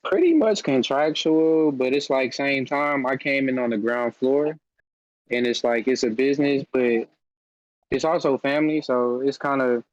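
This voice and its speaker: A man chatting as a podcast guest over a video call, relaxed and enthusiastic, leaning on fillers as he goes. His voice sits at a middling pitch. The audio is dry and slightly dull. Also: measured pace, no room sound. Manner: loose, filler-heavy, enthusiastic talk. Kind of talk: casual chat. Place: home room on a video call.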